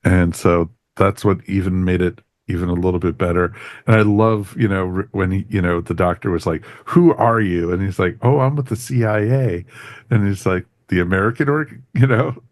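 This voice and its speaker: A man's voice: low-pitched, normal speed, dry recording, clean studio sound. A man co-hosting a podcast, giving an enthusiastic, casual review as he chats with others. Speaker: podcast co-host reviewing audio dramas.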